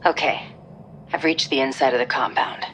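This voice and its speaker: A woman's voice: rumbling low, fairly even intonation, moderate pace, a bit bright, slight background noise. A woman playing a cool, confident covert operative in a video game cutscene, terse and self-assured. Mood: cool, focused.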